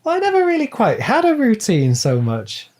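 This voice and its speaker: Mellow man chatting playfully. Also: very high-pitched, wildly swooping pitch, moderate pace. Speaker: podcast guest. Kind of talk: casual chat.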